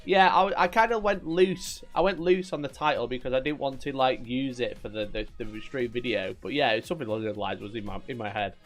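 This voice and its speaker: A man's voice: medium pitch, fairly quick, few pauses, soft background music. A man with a Yorkshire accent, casually chatting at his desk on a live stream, reading viewers' messages, joking, teasing and laughing often. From Northern England.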